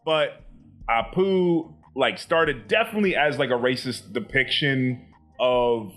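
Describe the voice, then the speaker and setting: A man's voice: medium pitch, a little slow, a bit bright, faint music behind. A young man from Florida co-hosting a casual comedy podcast, rambling with fillers and casual swearing. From Florida, USA. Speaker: podcast co-host.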